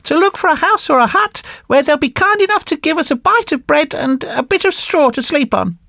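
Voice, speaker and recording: Woman putting on a very high-pitched earnest puppet boy. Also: lively intonation, brisk, creaky, a bit bright, no room sound, studio quality, exaggerated voice. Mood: grateful, caring. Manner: exclamatory, formal, emphatic. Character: Pinocchio, earnest polite wooden marionette boy.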